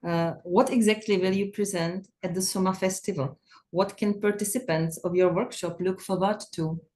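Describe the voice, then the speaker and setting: Female festival host; polite, measured, reads from a script. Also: medium pitch, steady tone, darkish tone, slight background noise. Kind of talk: scripted introduction and questions.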